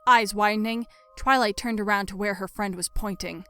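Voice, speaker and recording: A woman's voice: medium pitch, fairly even intonation, moderate pace, bright, forceful tone. A woman reading scripted story narration as the narrator. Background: music.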